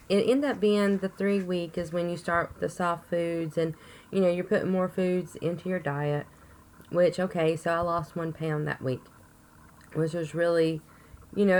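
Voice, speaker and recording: A woman with a hoarse voice from a chest cold, rambling chattily to camera in a casual update video with plenty of ums. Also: fairly low pitch, darkish tone, slight background noise. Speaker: vlogger.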